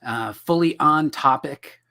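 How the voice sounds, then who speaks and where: A middle-aged man hosting a live comics-writing lesson over a video call, slightly hoarse, joking and self-deprecating. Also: fairly high pitch, slow, bright, forceful tone. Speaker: host. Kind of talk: casual livestream teaching. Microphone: video call webcam.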